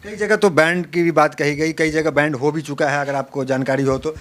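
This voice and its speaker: Fast-talking male interviewer. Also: fairly high pitch, steady tone, fairly bright tone. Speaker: interviewer, news reporter. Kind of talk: interview questioning.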